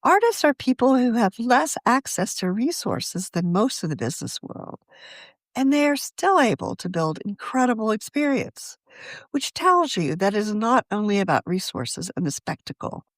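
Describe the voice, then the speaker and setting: A woman reading a scripted magazine article aloud for a podcast in a measured, articulate way. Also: on the high side, very animated, no echo. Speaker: magazine editor reading her own article.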